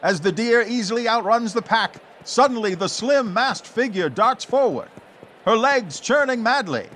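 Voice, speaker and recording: Guy doing old timey radio serial narration, pretty high voice, super animated and dramatic, bit of background noise. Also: normal speed. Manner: theatrical, suspenseful.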